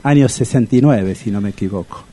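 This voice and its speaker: An actor playing a bumbling, naive older bachelor in a radio comedy, stammering and repeating himself in a Rioplatense Argentine accent. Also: male voice, lowish voice, a little fast, warm tone, husky. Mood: eager, proud. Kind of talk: radio comedy sketch acting.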